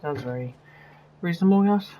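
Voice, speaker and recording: A man giving casual gameplay commentary as a streamer, rambling and halting, often repeating himself. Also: a little high, expressive, highs cut off, faint music behind. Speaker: game streamer.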